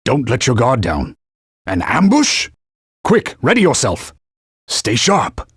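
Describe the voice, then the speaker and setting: A man's voice: medium pitch, wildly swooping pitch, takes it slowly, slightly rough, dry recording, clean studio sound, full character voice. An actor playing a haughty male talking sword, a stern mentor who gives orders and scolds in old-fashioned knightly phrasing, for a video game. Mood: warning. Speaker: game character.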